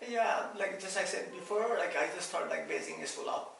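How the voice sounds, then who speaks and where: A man's voice: high voice, brisk, vocal fry. A young man from Nepal with a Nepali accent, answering interview questions informally and hesitantly in an echoey room. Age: young adult. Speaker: interviewee, master's student. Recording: very echoey room, distant mic, faint noise.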